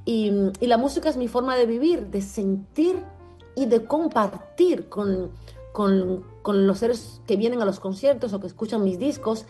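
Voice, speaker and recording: A woman musician who is a native French speaker and comes from Cuba, giving reflective interview answers in an earnest, deliberate and carefully articulated way. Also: a little high, mellow, husky, faint music behind.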